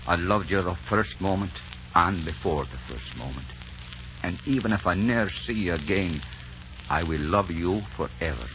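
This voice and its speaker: Male actor as world-weary romantic adventurer, deep voice, grave delivery, dull noisy vintage radio sound. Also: normal speed, natural voice. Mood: tender, sorrowful. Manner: grave, ardent, dramatic. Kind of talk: 1940s radio romance acting.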